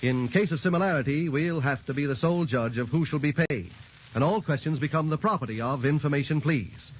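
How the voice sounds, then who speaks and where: A male radio announcer delivering a scripted sponsor pitch in 1940s style on a vintage recording. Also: brisk, husky, highs cut off.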